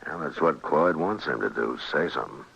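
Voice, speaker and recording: An actor playing a gruff, weary, wary frontier marshal in a 1950s radio western. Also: male voice, extremely low pitch, expressive, fairly quick, a bit bright, exaggerated voice. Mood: dry, watchful. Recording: dull, noisy old radio recording.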